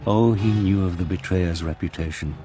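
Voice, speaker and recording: A man's voice: deep voice, normal speed, over music, close to an everyday voice. A man narrating an audio drama in a dramatic, scripted storytelling style. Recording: clear background noise and ambience.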